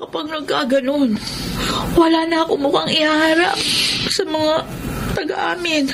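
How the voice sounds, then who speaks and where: High-voiced guilt-ridden Filipina sweetheart. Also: female voice, steady tone, a little slow, gravelly, background noise. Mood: anxious, guilty. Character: guilt-ridden young woman torn between two lovers. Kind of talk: Filipino radio drama acting, melodramatic. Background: music.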